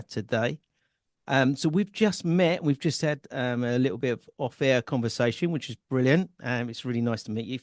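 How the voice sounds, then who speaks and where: A man's voice: mid-range voice, a little mellow, no room sound, studio quality. A man hosting an interview podcast, introducing and questioning in a presenter's style. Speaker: podcast host and founder.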